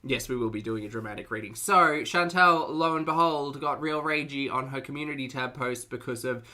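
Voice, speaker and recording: A man's voice: medium pitch, fairly quick, bright, forceful tone. An Australian man hosting a casual livestream, chatting to viewers in a playful, talkative way with cutesy slang. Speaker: livestream host and reactor.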